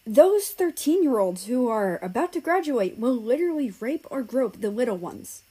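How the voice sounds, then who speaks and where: Woman acting a gossipy teen friend, brash, blunt, excitable, raised pitch, storybook character. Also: normal speed, husky. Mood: shocked, gossiping. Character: brash, nosy, teasing teenage friends in a gossiping group.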